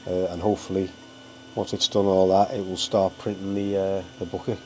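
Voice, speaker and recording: Bunged-up man with a low, flat voice. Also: a little fast, slight background noise, rough recording. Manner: rambling, full of ums and uhs, keeps saying guys. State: stuffy, bunged up from a cold. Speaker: hobby channel host. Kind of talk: casual how-to narration.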